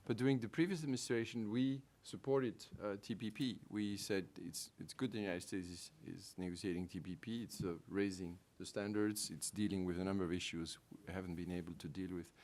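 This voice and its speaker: Deep-voiced Belgian man with French accent. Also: steady tone, darkish tone. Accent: French-speaking Belgian. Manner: halting, many ums and restarts, wry. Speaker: trade negotiator on a panel. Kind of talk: panel remarks on trade policy.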